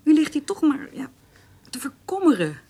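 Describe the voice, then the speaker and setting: A woman's voice: high-pitched, big pitch swings, moderate pace, mellow. An actress playing a worried woman, pleading insistently in a radio drama.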